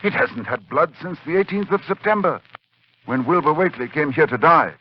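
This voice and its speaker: An actor playing an urgent, dread-filled scholarly doctor in a dramatic 1940s radio horror play. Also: male voice, a little high, lively intonation, fairly quick, noisy background, natural voice. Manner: dramatic, intense delivery. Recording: muffled 1940s radio recording, music behind.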